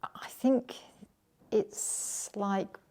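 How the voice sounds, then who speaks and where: A middle-aged woman speaks earnestly in an interview about her own lived experience, with halting phrases and false starts. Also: mid-range voice, fairly even intonation, darkish tone, in a whisper. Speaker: interviewee with lived experience of dissociative identity disorder. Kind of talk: personal interview talk.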